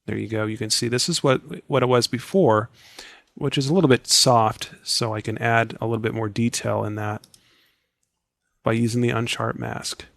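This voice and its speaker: A man guides viewers through an editing tutorial one click at a time, relaxed and chatty. His voice sounds scratchy and worn, as if he is losing it. It is recorded close and dry, with no room sound. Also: medium pitch, moderate pace, vocal fry, no echo. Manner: step-by-step instructive, relaxed and chatty. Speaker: software tutorial instructor. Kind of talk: screen-recorded software tutorial.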